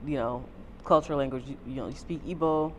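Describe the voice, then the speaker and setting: A woman's voice: extremely low pitch, steady tone, quick pace, rough recording. A woman hosting an interview, asking questions and backing the guest with many short affirmations. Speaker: host. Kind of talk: casual interview chat. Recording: faint background noise.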